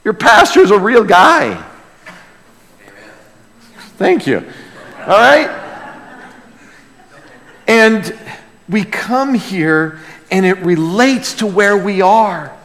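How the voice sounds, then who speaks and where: A man preaching a sermon as a pastor, earnest and emphatic, moving between hushed lines and loud exclamation, with rhetorical questions to the congregation. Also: high voice, animated, drawn-out words, pauses often. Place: large reverberant room, preaching to a congregation. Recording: long hall reverb.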